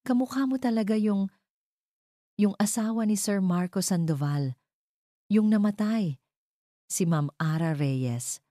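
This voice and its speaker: Woman voicing a curious male stranger, mellow tone. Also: on the low side, dry recording, clean studio sound. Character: curious, chatty male stranger, an ordinary onlooker.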